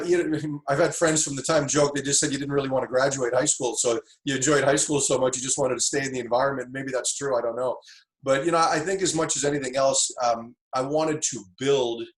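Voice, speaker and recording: A middle-aged man, originally from Tanzania, speaks at a mid pitch as he recalls his path into football coaching. He talks in an easygoing, reflective way, often circling back with fillers and restarts. The sound has a noticeable roomy echo. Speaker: football coach, interview guest. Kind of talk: casual interview storytelling.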